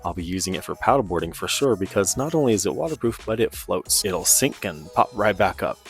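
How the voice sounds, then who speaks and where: Low-voiced man casually reviewing gear. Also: expressive, fairly quick, creaky, soft background music. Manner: informal, conversational. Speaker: presenter of radio gear review.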